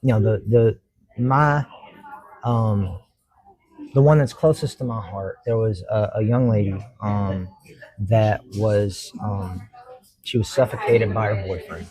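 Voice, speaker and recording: A middle-aged man with a Kentucky accent, a judge talking earnestly as a podcast guest, pausing often and restarting his sentences. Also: lowish voice, fairly even intonation, a little mellow, slightly rough, poor audio. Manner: earnest, halting, many fillers and restarts. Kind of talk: casual interview talk.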